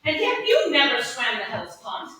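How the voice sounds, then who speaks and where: Woman playing a bright, high-voiced teasing young gentleman, echoey theater. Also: huge pitch swings, moderate pace, exaggerated voice. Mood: playful, mocking. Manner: declamatory, bantering stage delivery. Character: Valentine, teasing young gentleman bound for travel. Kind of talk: Shakespearean staged reading. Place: indoor playhouse stage, live audience. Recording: echoey theater, distant mic, noisy.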